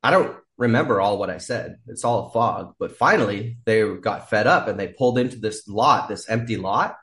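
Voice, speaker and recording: A man's voice: fairly low pitch, expressive, moderate pace, fairly bright tone. A man telling a wild story as a podcast guest, rambling, laughing often and swearing freely. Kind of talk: casual storytelling.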